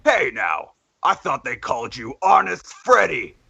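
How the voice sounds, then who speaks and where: A man's voice: a little high, wildly swooping pitch, unhurried, vocal fry, sharp tone, slightly rough, highs cut off, exaggerated voice. A man voicing a stern boss in a folksy, scolding manner. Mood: stern, disappointed. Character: stern boss demanding answers from worker.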